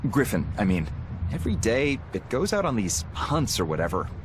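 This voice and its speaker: A man voicing an anxious, complaining young pirate crewman in an English anime game dub, with an exasperated, excitable delivery. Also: lowish voice, normal speed, noisy background. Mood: worried, complaining.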